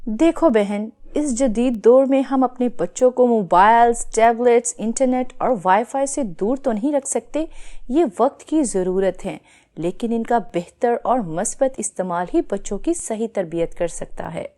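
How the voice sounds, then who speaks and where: A calm, sensible mother played by a woman giving advice in a children's moral story. The voice is rather high and very mellow, with a reassuring, instructive manner. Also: close to an everyday voice. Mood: advising. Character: calm, advising older-sister neighbour, a sensible mother.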